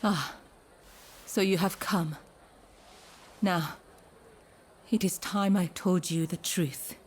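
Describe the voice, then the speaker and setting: Soft, low-voiced courteous pleasure-district lady. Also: slight background noise. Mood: polite, confiding. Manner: soft, formal, measured, often breathy. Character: gentle, courteous woman of a Japanese pleasure district. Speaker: voice actor in a video game. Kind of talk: scripted dramatic game dialogue. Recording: music behind the voice.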